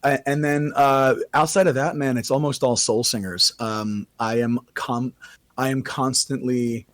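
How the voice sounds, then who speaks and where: A man with a raspy voice, a singer-songwriter guest chatting casually on a podcast, often restarting his sentences. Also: mid-range voice, steady tone, measured pace, no room sound. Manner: rambling, self-correcting casual talk.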